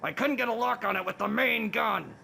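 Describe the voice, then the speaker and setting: A man playing a rough, sullen military general with a gravelly voice in an audio drama. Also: high-pitched, brisk, bright, forceful tone, a little hiss or hum, full character voice. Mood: defensive, flustered. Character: sullen, rough military general, making excuses to his commander. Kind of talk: radio-play acting.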